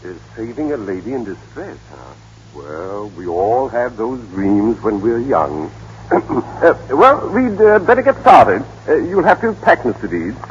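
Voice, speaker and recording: An actor playing a scheming big-city lawyer with a hemming, patronizing manner in old-time radio drama. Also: male voice, medium pitch, big pitch swings, a little slow. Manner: hemming, patronizing, formal address. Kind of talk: 1930s-40s radio drama acting. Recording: dull, noisy old radio broadcast.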